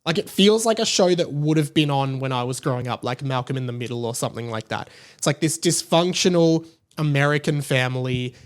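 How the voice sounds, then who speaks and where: A man's voice: medium pitch, expressive, brisk. A man hosting a podcast solo, talking casually about TV in a rambling, conversational way. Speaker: podcast host.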